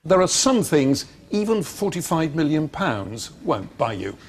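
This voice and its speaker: Lively male news voice-over. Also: on the high side, unhurried, breathy edge. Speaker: news reporter. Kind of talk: scripted news report voice-over.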